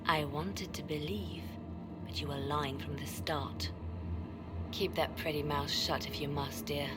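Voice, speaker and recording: A low-voiced, steely female spymaster. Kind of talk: dramatic video game cutscene acting. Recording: music behind the voice.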